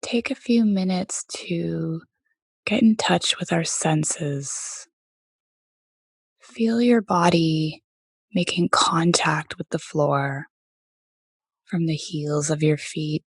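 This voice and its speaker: A woman leading a guided meditation as teacher, speaking gently in a soft voice with many pauses. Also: low-pitched, vocal fry, slightly rough, no echo. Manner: gentle, unhurried, pausing often between phrases.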